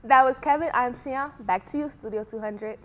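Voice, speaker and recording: A woman's voice: fairly high pitch, normal speed, slight background noise. A teenage girl interviewing a classmate for a student news show, asking brief, direct questions.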